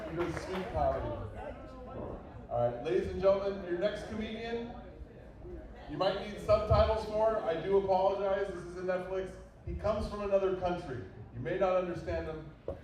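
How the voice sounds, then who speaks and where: A man hosting an open mic in a bar, loudly and playfully introducing comedians. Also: high-pitched, moderate pace. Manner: loud, playful hyping of comedians. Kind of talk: emcee introductions. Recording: echoey bar from a distance, loud room noise.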